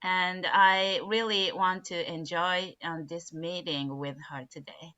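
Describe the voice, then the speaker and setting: A woman from Japan, an English teacher introducing herself cheerfully at a measured pace. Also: lowish voice, steady tone, bright, forceful tone. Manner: cheerful, measured, with light laughter. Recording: dull lo-fi video call audio.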